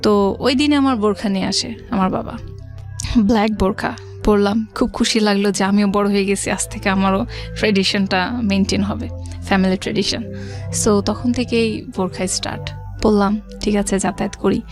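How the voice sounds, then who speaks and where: A woman's voice: medium pitch, fairly even intonation, moderate pace. Bangladeshi woman storyteller over music. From Rajshahi, Bangladesh. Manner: mixes English words into her speech. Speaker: guest telling her life story. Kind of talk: conversational personal storytelling on radio. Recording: background music under the voice.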